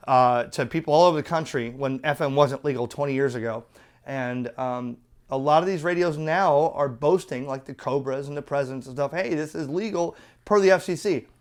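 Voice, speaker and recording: A man's voice: a little high, brisk, smooth. A middle-aged man giving a casual product review in a chatty, opinionated, colloquial way. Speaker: radio reviewer.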